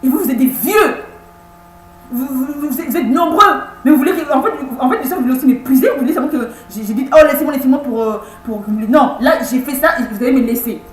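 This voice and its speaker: Woman, 30s, higher voice, stumbling and repeating herself, pleading tone, echoey room with faint hum. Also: lively intonation. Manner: halting, many repeated words and restarts; insistent, pleading. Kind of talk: personal video message.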